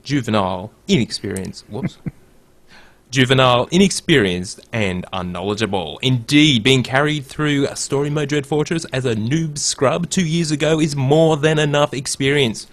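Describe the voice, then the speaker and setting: Man, podcast host, gravelly, casual gaming chat, reads aloud. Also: medium pitch, normal speed. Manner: reads a write-up aloud in a mock movie-trailer voice.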